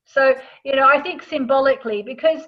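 A woman with a somewhat high-pitched voice explains things as a guest in a casual interview. Her delivery is informal and conversational. The recording is a little dull and lo-fi. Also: a little fast. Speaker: astrology author, interview guest.

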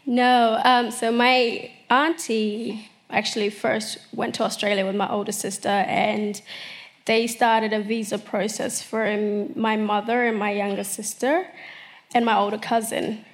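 Interview guest, woman in her twenties from South Sudan; earnest, reflective, slightly high-pitched. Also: little pitch movement, measured pace. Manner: earnest, uses many "you know" fillers.

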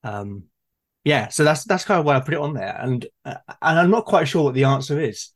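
A man hosting a casual, reflective discussion, musing aloud in a halting way and often restarting his sentences. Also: a little high, lively intonation. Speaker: host and game master in a conversation.

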